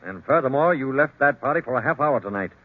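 An actor playing a hard-nosed, suspicious police captain who questions curtly and accusingly, in 1940s radio drama style. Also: male voice, medium pitch, animated, fast, close to an everyday voice. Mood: accusing, firm. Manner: curt, accusatory interrogator. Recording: muffled, phone-like old radio recording.

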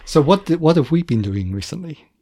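Gravelly-voiced man chatting casually. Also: a little high, sing-song, fairly quick, mellow, dry recording, studio quality. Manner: chatty, self-correcting, repeats words. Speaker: podcast co-host. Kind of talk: casual chat.

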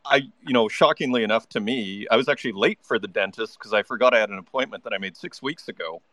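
A man's voice: lowish voice, fast talker, a bit bright, dry recording. A man hosting a live online audio interview, chatty and enthusiastic, often restarting his sentences. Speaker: podcast host.

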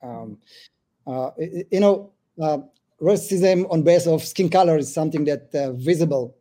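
A man in his forties from Ethiopia answers interview questions in a halting way, with many pauses and fillers. His voice is very dark and mellow, sitting a little high for a man. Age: 40s. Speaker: government official, guest. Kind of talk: podcast interview.

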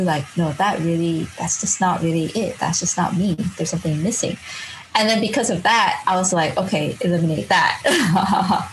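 A chatty young woman from Singapore with a lowish voice tells her story in a loose, reflective way, leaning on filler words and breaking into laughter. A faint hum of room ambience sits behind her. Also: low-quality recording. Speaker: podcast guest.

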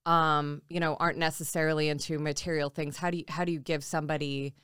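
A woman's voice: low-pitched, level tone, quick pace, a bit bright, smooth, no room sound, clean studio sound. A woman in her forties from Nebraska, chatting reflectively as a podcast guest, with many fillers and restarts. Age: 40s. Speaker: podcast guest, tattoo artist and jiu-jitsu coach. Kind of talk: casual interview chat.

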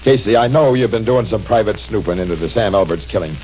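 Man doing a gruff police captain, low voice, barks orders, muffled old radio w/ hiss. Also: fast talker, mellow, close to an everyday voice. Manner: blunt, commanding. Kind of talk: 1940s radio acting. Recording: dull old radio broadcast with faint hiss.

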